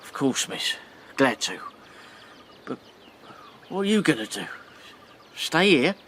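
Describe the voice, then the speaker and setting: Cast a man as a rough, halting riverboat captain with a slangy edge. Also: mid-range voice, sing-song, fairly bright tone, a little hiss or hum. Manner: blunt, halting, slangy speech. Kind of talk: radio drama acting.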